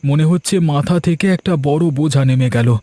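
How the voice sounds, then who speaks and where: A man's voice: fairly high pitch, moderate pace, dark tone, no room sound, lightly acted. A man voicing an earnest, grateful middle-aged village farmer in a cartoon. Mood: earnest relieved. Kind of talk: cartoon voice acting.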